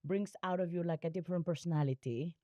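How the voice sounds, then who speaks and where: A woman hosting a podcast, asking reflective questions and softly encouraging her guest with murmured agreement. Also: fairly low pitch, fast talker, no room sound. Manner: soft, encouraging, frequent murmured backchannels. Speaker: podcast host.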